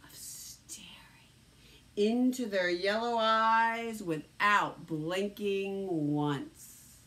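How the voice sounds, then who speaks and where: Slow, dramatic woman reading a storybook. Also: medium pitch. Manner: dramatic, with hushed whispered phrases and drawn-out words. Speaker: teacher reading a story aloud. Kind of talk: expressive children's storybook narration.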